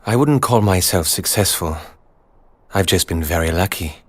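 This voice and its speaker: An actor playing a polite, modest servant in an animated game cutscene, formal, reserved and courteous. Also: male voice, low-pitched, normal speed, no echo.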